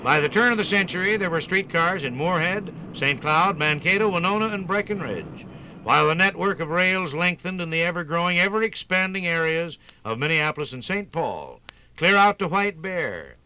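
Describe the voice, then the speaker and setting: Bright, theatrical 1950s radio narrator man. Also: high voice, expressive, slight background noise, rough recording. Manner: theatrical, folksy storytelling with drawn-out emphasis.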